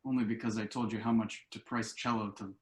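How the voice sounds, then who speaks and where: An actor playing a dry, wry and somewhat discouraged adult son and husband in a table read. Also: male voice, on the low side, flat delivery, fairly quick, darkish tone, close to an everyday voice. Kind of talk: stage comedy-drama table read. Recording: echoey room on online call.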